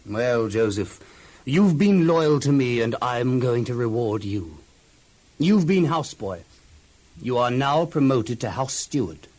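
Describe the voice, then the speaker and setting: An actor playing a wealthy, effusive master of an ancient household in a children's audio Bible drama, speaking expansively with emphatic, halting repetitions. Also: male voice, medium pitch, expressive, a little mellow, a little hiss or hum, natural voice. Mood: effusive, grateful.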